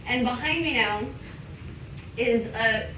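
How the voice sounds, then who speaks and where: A woman who teaches English, giving a live thank-you speech to a party crowd, warm and laughing often. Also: on the high side, slow. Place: large event space. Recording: roomy, far from the mic, noisy.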